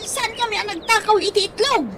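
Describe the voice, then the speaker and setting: A woman's voice: extremely high pitch, a little fast, heavy background noise. A woman with an Ilocano accent acting a flustered, pleading village gossip who stammers in a comedy radio drama. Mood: evasive.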